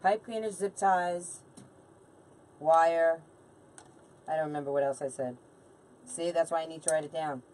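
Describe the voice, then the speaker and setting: A woman's voice: sits low, steady tone, unhurried, pauses often, a little mellow, slight background noise. Chatty woman in her forties, distant mic. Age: late 40s. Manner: chatty, informal, banters with viewers and helper. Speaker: craft livestream host. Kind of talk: casual live chat while crafting.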